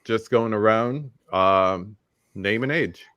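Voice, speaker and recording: A man's voice: on the low side, slow pace, clean tone, no room sound, lo-fi sound. Laid-back middle-aged male stream host. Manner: laid-back, shouting out chat viewers. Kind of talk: live stream roundtable hosting.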